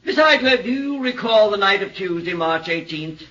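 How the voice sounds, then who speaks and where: A formal prosecutor questioning a witness, in a fairly high, bright voice with deliberate pacing. It sounds dull and lo-fi, like an old radio broadcast. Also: male voice, animated. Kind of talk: 1940s radio acting.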